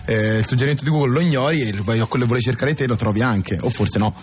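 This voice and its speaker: Fast-talking man, dryly ironic. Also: mid-range voice. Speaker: interview guest, co-author. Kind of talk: casual joking chat.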